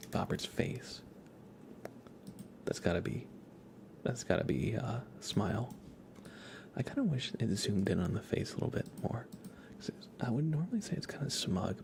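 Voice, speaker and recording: A man livestreaming a game in ASMR style, softly whispering in a relaxed, casual way as he thinks aloud and chats with viewers. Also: fairly low pitch. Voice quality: soft, breathy whisper.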